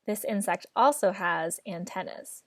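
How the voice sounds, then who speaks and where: A woman's voice: medium pitch, unhurried. A young woman teaching a children's lesson in a simple, instructive way that invites listeners to join in. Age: young adult. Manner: simple, instructive, encouraging participation. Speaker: children's garden class teacher. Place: living room at home, indoors.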